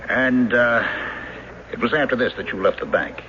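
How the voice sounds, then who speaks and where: An actor in a radio drama playing a skeptical police lieutenant, questioning in a measured, probing manner. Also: male voice, mid-range voice, sharp tone, natural voice. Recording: dull hissy old radio recording.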